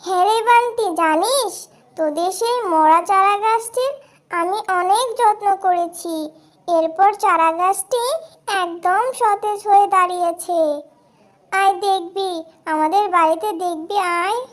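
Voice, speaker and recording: A sweet little fox girl from a children's cartoon. Her voice is extremely high and childlike, with a caring manner. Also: measured pace. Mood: eager.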